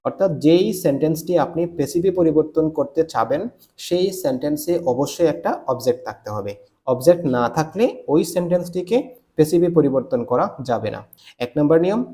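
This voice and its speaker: Mellow-voiced Bangladeshi man teaching. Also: on the high side. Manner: instructive, deliberate, emphasizes key terms. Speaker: English grammar teacher. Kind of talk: scripted grammar lesson.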